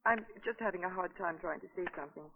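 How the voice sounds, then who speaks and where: An actress playing a frightened, contrite wife in a 1940s radio drama, earnest, emotional and halting. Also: female voice, on the low side, natural voice. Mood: contrite, soft. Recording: dull, reverberant 1940s radio broadcast.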